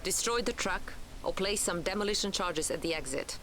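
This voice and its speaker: An actress playing a determined Polish partisan commander in a military game, giving deliberate orders in a Polish accent. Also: female voice, medium pitch, animated, a bit bright. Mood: resolute, commanding. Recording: battle noise behind the voice.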